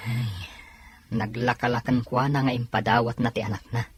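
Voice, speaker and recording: A man playing a caring, mildly complaining husband in a conversational audio drama, with a husky voice. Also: mid-range voice, flat delivery, normal speed. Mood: questioning.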